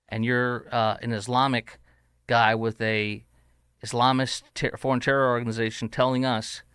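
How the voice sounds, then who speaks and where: A man's voice: mid-range voice, little pitch movement, moderate pace, fairly bright tone, smooth, no room sound, studio quality. A man hosting an opinionated talk show, combative and sarcastic in tone. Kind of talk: opinionated commentary.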